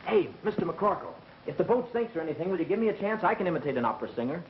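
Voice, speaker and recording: A man's voice: fairly high pitch, very animated, racing. An actor playing a fresh, eager young errand boy in a comic 1930s film. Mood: eager, cheeky. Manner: cheeky, eager. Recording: muffled, hissy 1930s film soundtrack.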